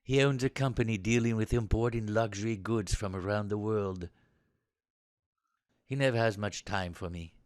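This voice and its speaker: An actor playing a posh, haughty young British soldier in an audio drama, with an upper-class English accent and a clipped, sarcastic way of talking. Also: male voice, medium pitch, lively intonation, normal speed, no echo, clean studio sound, lightly acted. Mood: subdued, confiding.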